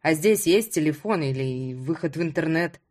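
A woman's voice: low-pitched, expressive, normal speed, a little mellow, voice pushed down. A woman voicing a proud, demanding, impatient man in an audiobook story. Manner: demanding, sardonic.

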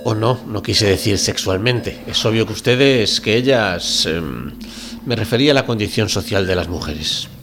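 Man playing an earnest, hesitant low-voiced doctor. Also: normal speed, music in the background, lightly acted. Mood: polite, uneasy. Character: earnest, conventional male doctor, deferential and flustered. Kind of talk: radio drama reading.

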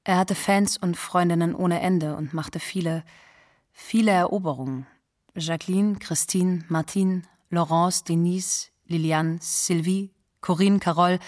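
A woman's voice: fairly low pitch, steady tone, measured pace, lightly acted. An actress playing an admiring woman who savors her memories in a radio drama monologue. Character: admiring woman recalling a heartthrob singer.

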